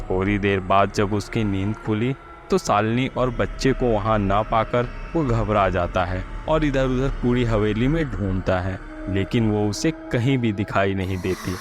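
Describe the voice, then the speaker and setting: A male storyteller narrates a scripted horror tale. His voice sits fairly low, and clear background music runs under the narration.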